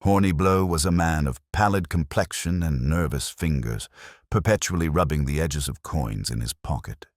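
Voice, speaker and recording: Male narrator for a mystery tale. Extremely deep, measured and literary, recorded very dry. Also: no room sound. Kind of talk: scripted mystery story narration.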